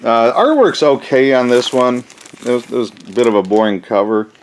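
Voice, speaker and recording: Man, medium pitch, casual vlog host, rambling, thinking aloud, folksy phrasing, unscripted show-and-tell. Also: animated, moderate pace. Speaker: collector hosting a flea market haul video.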